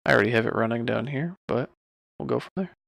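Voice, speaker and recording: A man talking informally and offhandedly through a software tutorial as he works at his computer. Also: medium pitch, fast, gravelly, dry recording. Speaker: streamer giving a software tutorial. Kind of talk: casual screen-recorded tutorial.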